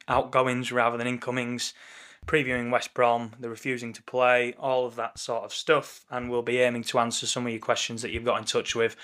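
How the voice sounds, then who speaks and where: Croaky man hosting a football podcast. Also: medium pitch, fairly bright tone. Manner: chatty, wry, leads the talk. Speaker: podcast co-host. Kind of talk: casual football podcast chat. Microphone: remote call recording.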